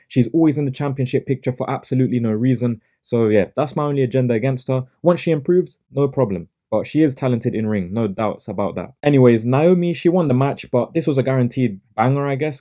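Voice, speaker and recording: A man's voice: medium pitch, mellow. A young man, not a native English speaker, giving a blunt, sarcastic solo review of wrestling shows.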